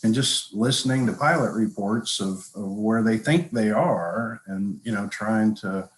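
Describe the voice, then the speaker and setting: An older man explaining his field as an interview guest, halting now and then as he thinks aloud. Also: fairly low pitch. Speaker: guest, avionics industry professional and pilot. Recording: echoey, roomy call audio.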